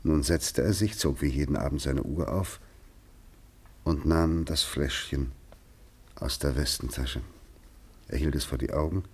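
A man narrating a radio play as scripted literary storytelling, in a measured, grave manner. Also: a bass voice, husky.

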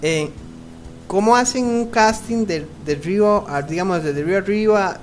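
Radio host, man, somewhat high voice, casual interviewing with hesitant phrasing. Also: soft background music. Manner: halting, searching for words. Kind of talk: conversational interview.

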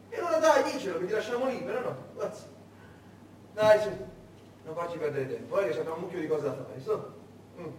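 A man playing a menacing wartime interrogator who cajoles and threatens in a theatrical monologue. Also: on the high side. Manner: cajoling, threatening, building to shouting. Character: menacing interrogator, falsely friendly then enraged, wartime soldier. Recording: very echoey stage, distant mic, faint noise.

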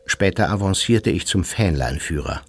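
A man's voice: low-pitched, lively intonation, normal speed, breathy edge, full character voice. A man playing an aging former Nazi officer who recounts his youth in a formal, self-justifying memoir narration. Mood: matter-of-fact, formal. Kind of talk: scripted first-person memoir narration.

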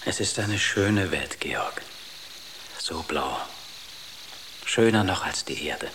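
Dreamy artist, slightly deep, lyrical, gentle, radio drama delivery. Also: male voice, animated, slow pace, noisy background, heavily acted. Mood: dreamy wonder.

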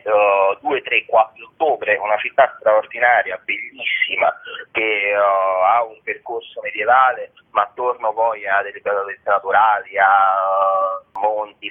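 A man giving a promotional radio interview as a festival director, rephrasing haltingly as he goes. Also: lowish voice. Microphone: phone. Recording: tinny narrow phone line.

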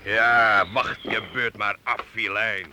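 A man playing a gruff medieval siege leader in a Dutch radio drama, giving orders in a dry, commanding way. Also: fairly low pitch, little pitch movement, slow, pushed and bright, muffled recording, a little hiss or hum, exaggerated voice.

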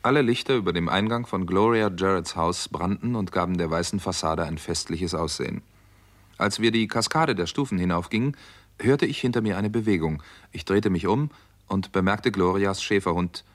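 A man's voice: sits low, normal speed. A man acting as a doctor hero who tells a crime story in a dry, controlled way, in a 1960s German radio play. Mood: sober narration. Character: male doctor and hero narrating a 1950s Hollywood crime story.

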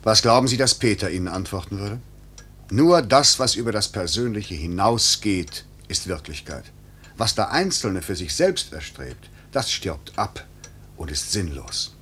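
An actor playing a stern idealist devoted to duty in an old radio drama, speaking with insistent, declamatory gravity. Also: male voice, on the low side, fairly bright tone, breathy edge, heavily acted. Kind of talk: 1950s German radio play acting. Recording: faintly noisy vintage radio recording.

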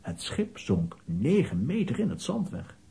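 Man as earnest professor, lecturing, very husky, swooping intonation, children's radio play. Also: medium pitch, huge pitch swings, a little slow, mellow, full character voice. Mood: explaining. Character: learned male professor, earnest and explanatory.

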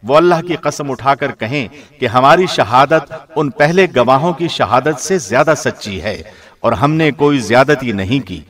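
A man reading a scripture translation in a formal, measured, solemn radio presenter's delivery. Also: mid-range voice, expressive, fairly bright tone.

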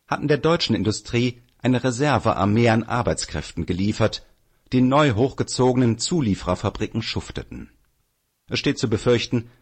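A man reading a political article aloud as scripted narration, in a measured, formal manner. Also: mid-range voice, husky.

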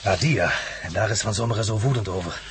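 Radio play defector: male actor as a formal, earnest Prussian aristocrat, German accent, low voice, clearly noisy recording. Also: fast talker, close to an everyday voice. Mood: guiding, grave. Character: courteous Prussian nobleman, defector from a villain's camp. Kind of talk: Dutch radio drama acting.

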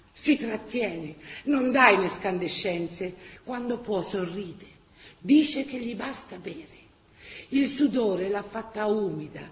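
An actress with a Tuscan accent playing an earthy, expressive storyteller in a theatrical wartime radio drama. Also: female voice, mid-range voice. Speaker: actress-narrator. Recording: roomy stage sound, faint background noise.

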